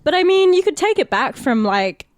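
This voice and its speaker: A woman's voice: high voice, very animated, normal speed, no echo. Australian woman chatting, close dry sound. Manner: informal, hesitant phrasing, thinking aloud. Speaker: podcast co-host, actor. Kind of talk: casual podcast chat.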